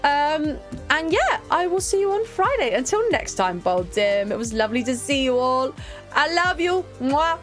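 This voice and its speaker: A woman's voice: sits high, big pitch swings, normal speed, faint music behind. A woman from the UK, a streamer, chatting casually and upbeat as she closes her stream, laughing often. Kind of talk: casual stream outro chat.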